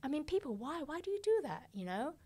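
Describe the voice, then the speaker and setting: A woman's voice: high voice, big pitch swings, fast talker, mellow. A middle-aged woman, a fashion director and podcast guest, telling stories bluntly and animatedly, swearing freely and acting out the dialogue, on a studio mic in a small podcast studio. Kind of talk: casual interview storytelling. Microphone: studio mic on arm, headphones.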